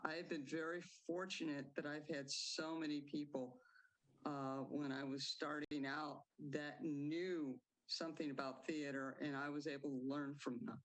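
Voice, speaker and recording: Playwright, woman, measured answers, guest on local TV. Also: deep voice, level tone, rough recording. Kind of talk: interview answers.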